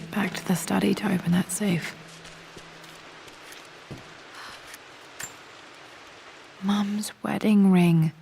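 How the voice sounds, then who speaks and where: A woman playing a determined, reflective young British woman in a video game, thinking aloud softly in a breathy voice, often near a whisper. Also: medium pitch, a little hiss or hum. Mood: wistful, pondering. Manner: musing, understated. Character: determined, reflective young woman searching her late father's study.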